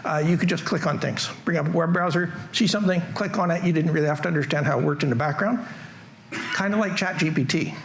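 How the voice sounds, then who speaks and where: Man, Canadian accent, middle-aged, slightly high voice, faint hall noise, casual conference presenter. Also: fast talker, vocal fry. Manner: casual, joking, self-deprecating. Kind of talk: tech conference talk.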